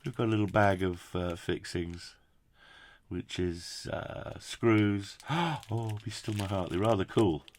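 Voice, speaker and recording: A man's voice: sits low, steady tone, takes it slowly, a little mellow, slight background noise. A British man presenting a casual hands-on product review in a chatty, jokey, effusive way with quaint turns of phrase. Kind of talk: casual hands-on unboxing commentary.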